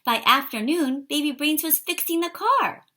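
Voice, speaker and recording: Animated woman reading a children's storybook. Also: sits high, normal speed. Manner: animated, addressing young listeners. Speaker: storybook reader. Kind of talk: expressive read-aloud of a children's picture book.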